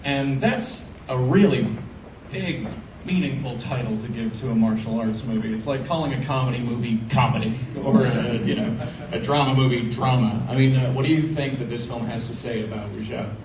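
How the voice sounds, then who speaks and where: A man's voice: medium pitch, normal speed, crowd noise. A man moderating a screening Q&A, chatty and joking with the guest. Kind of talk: live audience Q&A. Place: theater hall with audience. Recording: echoey hall from far off, noisy.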